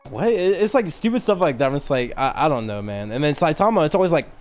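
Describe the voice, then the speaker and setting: A man's voice: on the high side, sing-song, fast, a little mellow, soft background music. A man in his twenties chatting casually as a podcast co-host, rambling through explanations in slangy language. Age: 20s. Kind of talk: casual chat about anime and manga.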